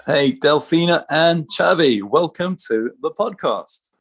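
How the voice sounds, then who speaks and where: A man's voice: a little high, lively intonation, a little slow, music in the background. A man hosting a podcast, introducing his guests and asking questions in a conversational way. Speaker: podcast host. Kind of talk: podcast interview.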